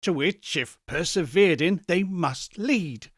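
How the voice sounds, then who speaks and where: A man's voice: sits high, expressive, takes it slowly, no room sound, studio quality, pitched above the performer's own voice, heavily acted. A man doing Scrooge, old and frightened, voice raised and pleading. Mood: fearful begging. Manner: earnest, beseeching delivery. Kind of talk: audiobook character voice.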